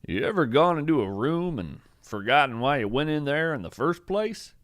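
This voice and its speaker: Folksy, wonder-struck naturalist host for audio drama: earnest, theatrical, highly varied pitch, dry studio sound. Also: male voice, big pitch swings, moderate pace, fairly bright tone, dry recording. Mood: musing storytelling. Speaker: fictional podcast host and narrator. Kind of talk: scripted storytelling monologue.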